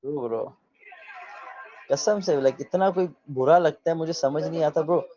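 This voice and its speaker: A man in his thirties in a casual video chat, relaxed and opinionated, slipping English phrases into his talk. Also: fairly high pitch, unhurried. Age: 30s. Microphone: video call mic. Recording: dull lo-fi video call audio.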